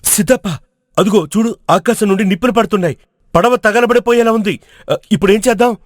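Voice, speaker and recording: A man acts a courageous village hero for an animated folk tale. The voice sits high for a male, resolute and earnest, declaiming each line. The sound is dry. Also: fast, no room sound, lightly acted. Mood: alarmed. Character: brave, determined young village hero. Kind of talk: animated moral story dubbing.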